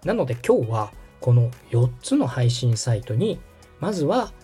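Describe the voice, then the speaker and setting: Podcast tutorial host: mellow, slightly husky, explanatory, wide-moving intonation over background music. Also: mid-range voice, big pitch swings, moderate pace. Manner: explanatory, checks the listener's understanding. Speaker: host and radio director giving a tutorial.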